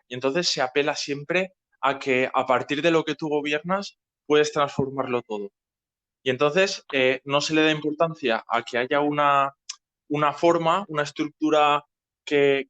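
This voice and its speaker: A man's voice: a little high, a bit bright. A man with an accent from Spain, explaining things casually as a host and often checking that he is being followed. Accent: Spain Spanish. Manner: didactic, checking understanding with tags.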